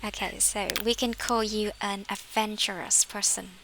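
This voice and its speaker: A woman's voice: medium pitch, flat delivery, normal speed, background noise. A woman, an English teacher leading a class conversation and correcting her students' phrasing. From Vietnam.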